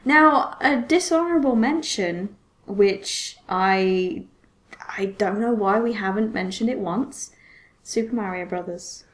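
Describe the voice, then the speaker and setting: A woman co-hosting a podcast, talking casually about films in an animated way and often repeating herself. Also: medium pitch, slow pace. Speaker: podcast co-host.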